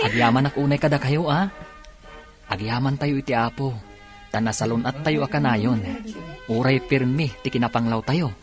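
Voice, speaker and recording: A humble young man newly in police uniform, played by an actor. He has a medium-pitched voice, an Ilocano accent and a good-natured radio comedy drama delivery. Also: moderate pace, pauses often, over music, lightly acted. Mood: grateful, amused. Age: young adult.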